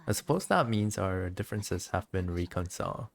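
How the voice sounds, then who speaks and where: A woman voicing a dignified, reflective female shogun from a game in a formal, measured, solemn manner. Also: rumbling low, lively intonation, vocal fry, heavily acted. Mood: calm, formal.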